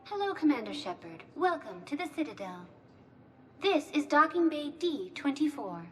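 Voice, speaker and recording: A woman's voice: sits high, very animated, moderate pace, fairly bright tone. A woman playing a courteous synthetic information assistant in a video game, speaking in scripted, official announcements. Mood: courteous, informative.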